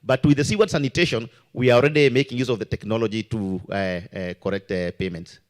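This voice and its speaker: A man's voice: on the low side, quick pace. A man speaking as a regulator on a conference panel, hesitant, with many fillers and restarts. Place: conference meeting room.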